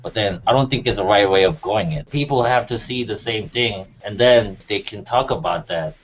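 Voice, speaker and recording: A man's voice: lowish voice, moderate pace. A man giving his opinions casually as a panelist on a music talk show. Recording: muffled audio with faint noise.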